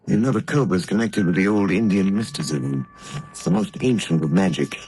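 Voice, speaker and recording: A man playing a suave, wealthy gentleman in a 1930s radio drama, speaking in a smooth, assured way. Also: low-pitched, fairly quick, natural voice, rough recording.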